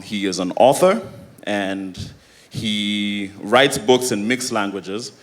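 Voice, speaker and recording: Man, from Sierra Leone, conference emcee, upbeat, lowish voice. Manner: upbeat, engages the audience.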